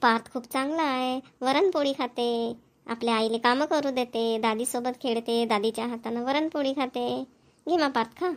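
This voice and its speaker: Woman doing a doting old village grandma, high voice, talkative, rural maharashtrian accent, cartoon. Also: moderate pace, lightly acted. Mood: doting, coaxing. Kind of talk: cartoon family dialogue.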